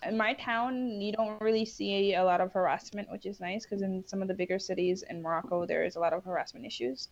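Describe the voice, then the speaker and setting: A young woman talks through her experiences in a chatty, unpolished way, often restarting sentences and filling pauses. Her pitch sits in a middle range. The recording sounds somewhat dull and lo-fi. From Bangladesh, raised in New York City. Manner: chatty, frequent fillers and restarts. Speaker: interview guest, Peace Corps volunteer. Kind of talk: casual interview answers.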